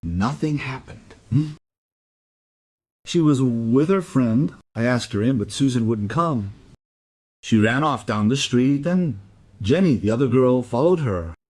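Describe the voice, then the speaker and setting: An elderly immigrant man in a crime audio drama. He speaks at a middling pitch, politely and hesitantly, wandering off as he evades questions. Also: expressive, a little slow, a little mellow. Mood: polite, uneasy. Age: 70s. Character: lonely, evasive elderly Polish immigrant man under questioning.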